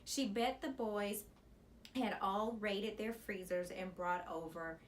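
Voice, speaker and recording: A woman's voice: mid-range voice, fairly even intonation, slow. Woman reading a story, noticeable background noise. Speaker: elementary school teacher reading aloud. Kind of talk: expressive children's audiobook storytelling.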